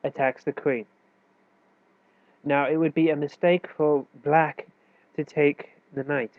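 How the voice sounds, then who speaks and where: Male chess tutor with a head cold, nasal and a bit high, relaxed explaining manner, dry phone-like sound. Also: takes it slowly, dry recording. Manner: loose, self-correcting explanation with fillers. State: stuffy with a cold. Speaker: chess teacher. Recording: tinny, dry phone-like recording.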